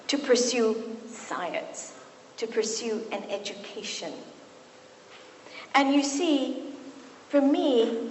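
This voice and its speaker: A middle-aged woman from Kenya gives a physician's lecture in a large university hall. She tells stories with lively asides in a fairly high voice, and the room's long echo rings around her words under a faint hiss. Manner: warm and storytelling, with lively asides. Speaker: physician and speaker. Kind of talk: lecture talk to an audience. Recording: echoey hall, faint noise.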